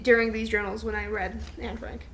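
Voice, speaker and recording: A woman's voice: on the high side, flat delivery, a little fast. A young woman co-hosting a podcast, talking in a casual, playful and teasing way with a friend. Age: young adult. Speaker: podcast co-host. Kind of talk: casual chat between friends.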